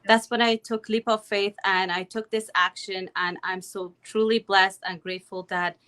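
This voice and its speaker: A woman's voice: mid-range voice, steady tone, normal speed, a bit bright, smooth. A woman in her thirties from Afghanistan, talking earnestly as an interview guest over a video call, with many "you know"s and "right"s. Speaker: guest, accountant and online business owner. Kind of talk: casual interview talk. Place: home in Calgary.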